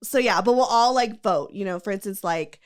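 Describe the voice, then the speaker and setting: A woman's voice: medium pitch, normal speed. Giggly woman podcast host. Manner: chatty, giggly, rambling with many fillers. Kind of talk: casual chat.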